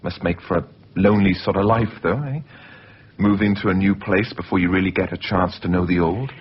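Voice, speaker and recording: A man's voice: low voice, fairly even intonation, brisk, slightly rough. An actor playing an insinuating man who casually needles and probes, in naturalistic radio drama style. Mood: chatty, probing. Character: Jon, insinuating, film-obsessed man steering every conversation. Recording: muffled, noisy off-air radio recording.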